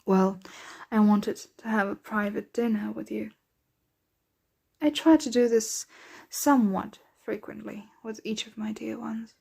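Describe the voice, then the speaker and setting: A woman playing a centuries-old, doting, aristocratic vampire mistress in an ASMR roleplay, speaking softly, tenderly and without hurry, often slipping into whispers. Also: medium pitch, level tone, creaky, slightly rough. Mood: gracious, welcoming. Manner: soft, unhurried, tender, often drifting into whispers.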